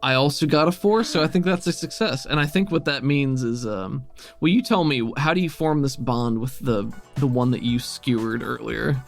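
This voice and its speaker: A man with a gravelly, creaky voice runs a tabletop role-playing game at an ordinary pitch. He talks casually as game master over background music. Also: moderate pace.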